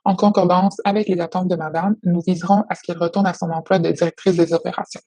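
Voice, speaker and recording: Female clinician. Mellow, slightly raspy, formal and measured, dry recording. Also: fairly low pitch, fairly even intonation, no echo. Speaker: occupational therapist. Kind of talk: professional phone consultation, prepared report.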